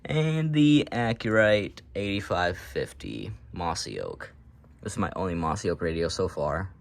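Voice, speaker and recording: A man's voice: low voice, very animated, a little slow, vocal fry. A man giving a casual, unscripted show-and-tell as a hobby collector, talking in an offhand, matter-of-fact way with rambling asides.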